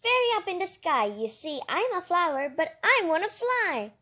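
A woman's voice: extremely high pitch, sing-song, normal speed, bright, forceful tone, voice pushed up, heavily acted. A woman voicing a tiny female storybook flower, wistful and pleading, longing to fly.

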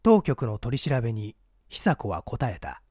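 A man's voice: medium pitch, big pitch swings, a little slow, darkish tone, husky, dry recording, clean studio sound. A man reading a mystery story aloud as a scripted literary narration. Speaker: audiobook narrator.

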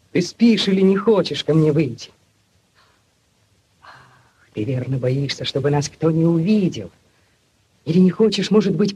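An actor playing a lovestruck, impatient young Cossack with pleading, theatrical 1940s radio delivery. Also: male voice, a little high, dark tone, a little hiss or hum, rough recording. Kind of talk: 1940s Soviet radio drama acting. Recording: 1940s radio recording with music behind.

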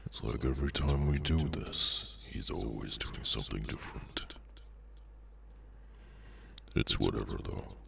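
A man voicing a weary, menacing demonic henchman in an ASMR audio roleplay, sardonic and low-key, with a gravelly voice. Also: fairly bright tone, slight background noise, exaggerated voice. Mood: weary, annoyed. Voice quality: very deep, gravelly, creaky. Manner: slow, low-key, casually sinister, sardonic.

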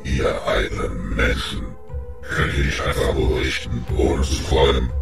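A man voicing a huge, menacing, scornful hellhound in a fantasy audio drama, slow and haughty, with a rumbling, pitched-down, booming effect. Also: exaggerated voice. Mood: cynical, scornful. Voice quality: deep, rumbling, gravelly. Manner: slow, archaic, haughty address. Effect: pitched-down, booming reverb. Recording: heavy echo, distant, loud music.

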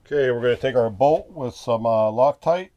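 A middle-aged man talks through a hands-on repair in a small RV bedroom in a plain, everyday voice of medium pitch. He recorded it on a phone held close, with a faint hiss behind him. He thinks aloud while he works. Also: moderate pace, dark tone, highs cut off. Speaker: RV owner showing a repair. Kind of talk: casual how-to narration.

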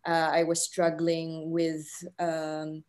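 Lower-pitched woman, hesitant and reflective. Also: completely flat delivery, slow. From Philippines. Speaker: film writer and director, panel guest. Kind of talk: casual interview answers. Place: living room on a video call. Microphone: laptop mic on video call.

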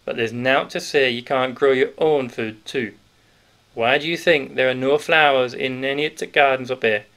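A man's voice: mid-range voice, steady tone, normal speed, fairly bright tone, natural voice. A man voicing a stout, sly, cheerful male wartime grocer with a Yorkshire accent, in a knowing, conspiratorial manner. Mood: jovial.

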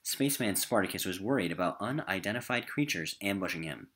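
A man's voice: low voice, expressive, brisk, a bit bright, husky. A man with an American accent teaching a recorded literature lesson in an emphatic, informal classroom style.

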